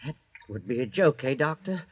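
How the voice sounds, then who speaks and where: A man's voice: medium pitch, lively intonation, lightly acted. Fretful convict forger played by a man, vintage radio. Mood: doubting, agitated. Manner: fretful, halting. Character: anxious, brooding convict forger on the run. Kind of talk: old-time radio drama acting. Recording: dull, hissy old radio recording.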